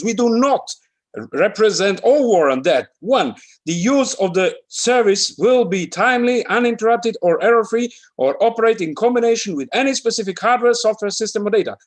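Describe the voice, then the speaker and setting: Theatrical fine-print declaimer played by a man: high, loud, emphatic, workshop performance. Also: expressive, moderate pace. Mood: loud declaiming.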